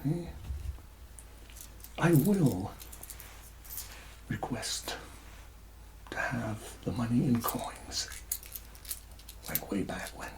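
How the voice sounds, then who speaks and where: A man doing a soft-spoken ASMR roleplay as a tax collector, hesitant and conspiratorial, often dropping into whispers. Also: mid-range voice, big pitch swings, very slow, long pauses, background noise.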